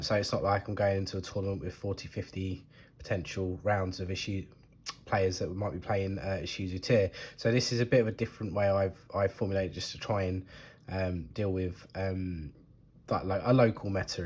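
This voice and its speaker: Guy, low-ish, stuffed up with a cold, rambly and hesitant, lots of ums. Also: fairly even intonation, fairly quick, darkish tone, slightly rough. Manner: hesitant, full of ums and restarts. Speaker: card game deck reviewer. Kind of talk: casual talk to camera.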